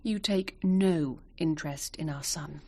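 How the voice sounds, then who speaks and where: An actress playing an assertive English barrister's wife, brisk, pointed and articulate, in a British radio drama. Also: female voice, low-pitched, mellow. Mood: pointed, reproachful. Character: barrister's sharp, assertive wife, ambitious to qualify herself.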